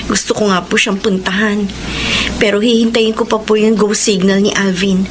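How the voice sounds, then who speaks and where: A woman's voice: medium pitch, little pitch movement, moderate pace, close to an everyday voice. An actress playing a worried, caring and forgiving young woman in a Filipino radio drama. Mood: pained, gentle. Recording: clear background noise.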